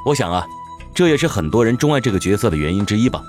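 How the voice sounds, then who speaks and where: A man delivering scripted narration as a voice actor, in a dramatic, measured storytelling manner. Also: fairly low pitch, big pitch swings. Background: music.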